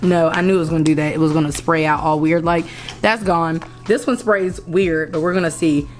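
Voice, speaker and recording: A young woman with a hoarse, lowish voice, talking to camera at home as she puts on makeup. She rambles in a chatty way, stopping to restart her sentences. Soft music plays faintly underneath. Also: steady tone, a little fast. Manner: rambling, self-correcting, chatty. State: hoarse after a concert. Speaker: vlogger. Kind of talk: casual chat while doing makeup. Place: indoors at home.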